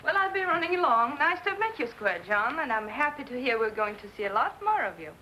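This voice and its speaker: A woman's voice: high-pitched, big pitch swings, a little fast, bright, forceful tone, exaggerated voice. An actress playing a breezy, friendly woman in an old Hollywood film. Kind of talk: 1940s Hollywood film acting. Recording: slightly noisy old film soundtrack.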